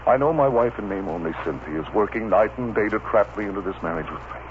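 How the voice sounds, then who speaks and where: An actor plays an ardent, masterful lover in a vintage radio soap. His lowish voice swoops with melodrama through a heavily muffled recording. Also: animated, quick pace, noisy background, lightly acted. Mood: urgent, frustrated. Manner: impassioned, melodramatic, commanding. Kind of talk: old-time radio soap opera acting.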